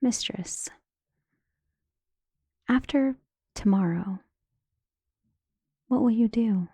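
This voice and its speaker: A woman playing a devoted, deferential maid in an audio drama, speaking in a hushed, earnest, conspiratorial way. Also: a little high, extremely slow, breathy edge, no room sound, clean studio sound, exaggerated voice. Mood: earnest, supportive. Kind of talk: dramatic audio roleplay acting.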